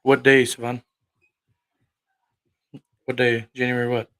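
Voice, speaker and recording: A man's voice: mid-range voice, completely flat delivery, slow pace, a bit bright, clear, resonant tone. Thirties male livestream preacher, mid-range voice, combative and profane, fires rhetorical questions. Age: 30s. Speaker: online preacher. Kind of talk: livestream talk answering chat.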